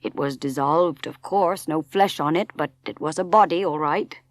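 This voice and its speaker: A woman voicing a gruff, plain-spoken sea captain in an audiobook, blunt, measured and apologetic. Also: low voice, close to an everyday voice. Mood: blunt, official. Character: gruff, plain-spoken harbour master, a reluctant older captain. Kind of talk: dramatic audiobook character reading.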